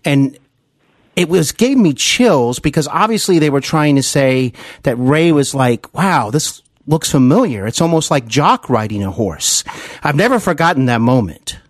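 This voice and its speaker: A man's voice: on the high side, expressive, unhurried, no echo. A man hosting a podcast interview, speaking as an earnest, effusive fan of his guest. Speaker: podcast host. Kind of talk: celebrity interview.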